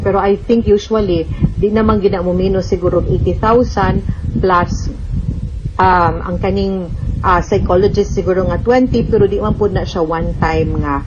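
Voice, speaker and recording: A woman with a Cebuano Filipino accent giving legal advice to radio listeners, explaining things and mixing in English terms. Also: lowish voice. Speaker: lawyer hosting a radio advice program. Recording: dull, noisy radio recording with clicks.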